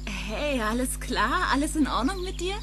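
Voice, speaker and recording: A woman's voice: sits high, big pitch swings, moderate pace. An actress playing a sweet, playful teenage girl in a German film dub, teasing and inquisitive. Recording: music under the dialogue.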